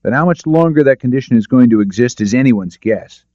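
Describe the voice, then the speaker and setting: A man performs an audiobook's forceful doctor in his forties, blunt and indignant. The voice is heavy and masculine, the tape dry and slightly muffled. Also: mid-range voice, expressive, a little fast, no echo, voice pushed up, close to an everyday voice. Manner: blunt, assertive.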